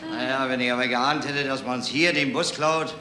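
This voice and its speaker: A man's voice: medium pitch, steady tone, normal speed, natural voice. An actor playing a complaining, quarrelsome male tourist in a radio crime play. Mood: chatty, sceptical. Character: indignant male tourist whose bus vanished, bickering. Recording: echoey, noisy 1970s radio recording.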